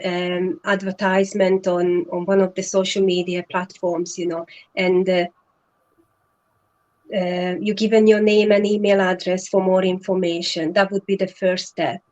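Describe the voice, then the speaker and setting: Woman, earnest and halting. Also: medium pitch, darkish tone, lo-fi sound. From Hungary. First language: Hungarian. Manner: earnest, many fillers and restarts. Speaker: guest, online business partner. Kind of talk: casual live-stream chat.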